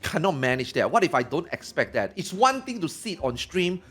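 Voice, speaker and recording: A man in his forties, a streamer, talking fast and excitedly in a casual podcast chat, often repeating himself. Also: on the high side, soft background music. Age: 40s.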